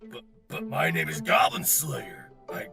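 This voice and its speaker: Performer doing a gravelly, blunt goblin-obsessed warrior guy. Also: low voice, sing-song, unhurried, over music, pitched below the performer's own voice, full character voice. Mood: blunt, confused. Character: deadpan, gruff armored goblin-obsessed male adventurer, parody. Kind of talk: abridged anime parody skit.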